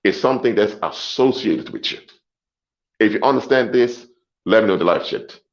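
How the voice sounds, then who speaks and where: A man hosting a livestream, lecturing deliberately and emphatically, repeating his points and closing them with short clinchers. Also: on the low side, animated, lo-fi sound. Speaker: livestream host. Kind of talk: lecturing monologue to a live audience.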